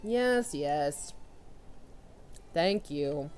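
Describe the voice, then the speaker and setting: A young woman commentating a video game run, loud and excitable, talking to her characters as she plays. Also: low-pitched, extremely slow, smooth, faint music behind. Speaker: let's player. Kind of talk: casual gameplay commentary.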